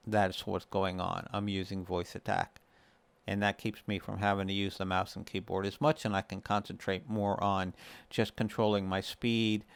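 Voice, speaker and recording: A man's voice: fairly low pitch, vocal fry, clear, resonant tone, dry recording. A man hosting a casual gaming tutorial, explaining things step by step in a conversational way. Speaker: video creator and host.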